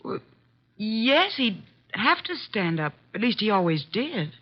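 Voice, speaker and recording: An actress playing a high-strung, emphatic woman caught up in a murder case in a 1950s radio drama. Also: very animated, unhurried. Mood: indignant, uneasy. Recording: dull vintage 1950s radio recording.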